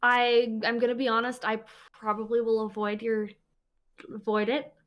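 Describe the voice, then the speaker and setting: A woman's voice: a little high, little pitch movement, moderate pace, lo-fi sound. A woman speaking as a panelist and streamer in a casual debate, hesitant with many restarts.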